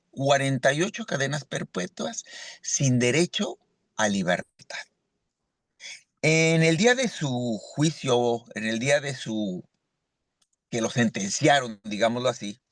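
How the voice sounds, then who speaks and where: A man's voice: mid-range voice, fairly bright tone, no room sound. A man from Mexico giving an earnest church sermon as a lay preacher, often asking "¿verdad?" to his listeners. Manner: earnest, with frequent rhetorical "¿verdad?".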